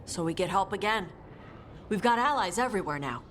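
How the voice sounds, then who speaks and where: An actress playing an earnest, practical companion in a fantasy video game. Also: female voice, medium pitch, animated, brisk, a bit bright, slight background noise, close to an everyday voice. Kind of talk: cinematic video game voice acting.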